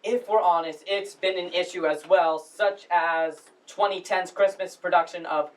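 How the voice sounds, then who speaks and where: A woman's voice: on the low side, steady tone, normal speed, close to an everyday voice. A woman playing a pompous, earnest drama society director giving a formal comic welcome speech on stage. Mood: awkwardly earnest. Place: school showcase stage, recorded from the audience. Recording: noisy, distant stage recording with clicks.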